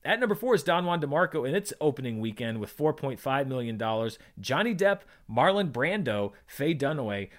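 A man in his thirties hosting a show solo, talking through charts in a chatty, enthusiastic way with wry asides. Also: on the high side. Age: 30s. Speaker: podcast host recapping box office. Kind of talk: conversational solo commentary on movie charts.

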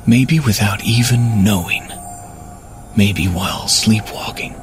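A man's voice: lowish voice, takes it slowly, faint music behind. A man playing a troubled husband, narrating a horror story in a brooding, dramatic manner. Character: troubled husband and investigator telling his downfall.

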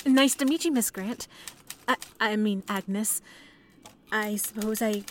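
A woman's voice: mid-range voice, slow, a little hiss or hum, lightly acted. A woman playing a polite, eager young new employee in an old-time radio play, courteous and a little tentative. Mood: nervous, deferential.

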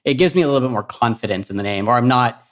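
A man being interviewed casually, talking in a halting, reflective way. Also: medium pitch.

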